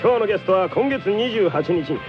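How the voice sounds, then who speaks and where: Hyped, slick male DJ. Fast, swooping announcer patter with English flourishes, for comic radio drama. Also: high-pitched, animated, voice pushed up. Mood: excited. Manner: exaggerated announcer patter sprinkled with English words. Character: hyped, slick, English-peppering radio DJ, over-familiar man. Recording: slightly dull audio with music behind.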